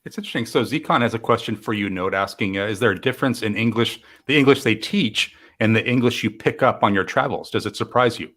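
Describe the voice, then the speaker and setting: A man hosting a live stream interview, upbeat and quick to laugh. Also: on the low side, a bit bright. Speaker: host.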